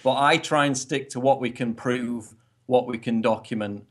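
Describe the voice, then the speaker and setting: A man from northern England with a toned-down northern English accent, giving measured, explanatory interview answers with a wry edge. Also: medium pitch, fairly even intonation. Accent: softened northern English. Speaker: guest commentator.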